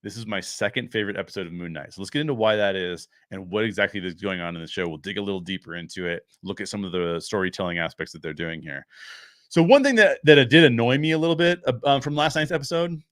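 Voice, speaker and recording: A man's voice: lowish voice, expressive, quick pace, fairly bright tone, no echo, clean studio sound. A man hosting a review show, giving casual, opinionated commentary in an explanatory way, stressing key phrases. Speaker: host of a pop-culture review show.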